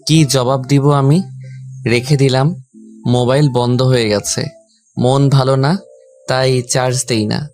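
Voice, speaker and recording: A man's voice: medium pitch, steady tone, measured pace, pauses often, darkish tone. A man reading a scripted first-person story as its narrator and lead character. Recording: background music under the voice. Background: music.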